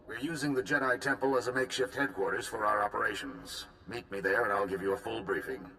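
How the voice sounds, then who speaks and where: A man's voice: lowish voice, fast, gravelly, bright, forceful tone, a little hiss or hum, poor audio. An actor playing a male supreme commander giving a formal, urgent mission briefing in a video game cutscene. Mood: earnest. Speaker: military commander.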